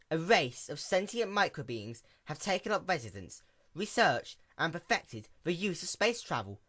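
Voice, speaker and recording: A man's voice: high-pitched, a bit bright, voice pushed up. A man voicing an earnest, dutiful female sea pony attendant who explains things formally.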